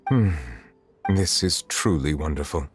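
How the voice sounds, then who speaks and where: An actor playing a solemn, reserved chief justice in a video game, speaking formally and deliberately. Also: male voice, very deep voice, animated, big-room sound, over loud music, heavily acted. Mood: calm, resolute. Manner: measured, formal, stately.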